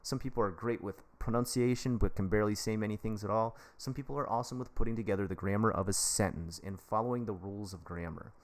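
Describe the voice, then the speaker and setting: A man's voice: lowish voice, fast, hardly any pauses. An American man, an English teacher, giving casual advice on a live stream in a rambling, jokey, chummy way, talking straight to his viewers. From United States. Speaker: livestream advice host.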